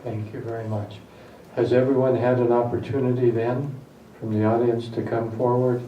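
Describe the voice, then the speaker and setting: A man presides over a public meeting, welcoming people in short, polite phrases. He sits well back from the microphone in a reverberant chamber. The sound is muffled and carries steady background noise. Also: mid-range voice, normal speed. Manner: brief, courteous and welcoming. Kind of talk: formal public meeting remarks. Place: council chamber. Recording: distant mic, echoey chamber, dull and noisy.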